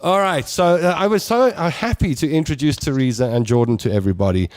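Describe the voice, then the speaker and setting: A middle-aged South African man hosting a talk show, reading out viewer comments in a warm, rambling way with lots of restarts. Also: on the high side, fast, dry recording, clean studio sound.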